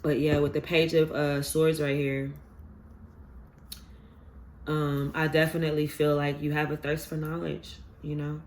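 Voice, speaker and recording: A man's voice: fairly high pitch, monotone, measured pace, pauses often, a little hiss or hum. A man giving a casual collective tarot reading, rambling and earnest with many fillers, his voice stuffy from a sinus infection. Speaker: tarot reader.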